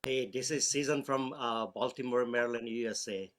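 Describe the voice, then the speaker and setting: A man's voice: medium pitch, moderate pace. A man from Nepal asking the guest questions from the audience, chatty and friendly. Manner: chatty, laughs often. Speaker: audience caller.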